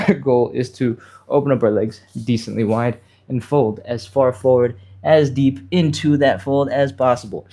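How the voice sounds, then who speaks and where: A man's voice: mid-range voice, dark tone. A man with a slightly nasal voice and a mild cold, casually coaching a live stretching session step by step. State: mild cold, a little sniffly. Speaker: fitness instructor, livestream host.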